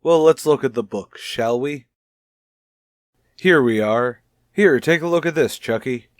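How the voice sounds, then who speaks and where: An actor playing a gentle, probing child psychologist in a radio soap, speaking in a measured, soothing, tactful way. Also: male voice, medium pitch, no room sound, studio quality, lightly acted. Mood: gentle, encouraging. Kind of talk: old radio soap opera acting.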